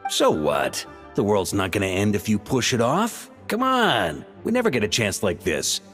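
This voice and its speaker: A man's voice: fairly low pitch, huge pitch swings, normal speed, fairly bright tone. A man playing a carefree, roguish young drinker in a video game, playful, teasing and theatrical. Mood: coaxing, playful. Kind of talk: video game cutscene acting. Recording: long hall reverb, music behind.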